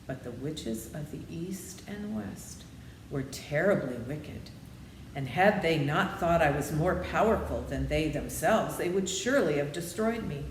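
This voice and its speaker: A woman's voice: sits low, lively intonation. An old humbug wizard from a storybook, read by a woman, explains himself meekly and kindly. The echoey recording has faint noise behind it. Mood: confiding, explanatory. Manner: meek, explanatory, measured.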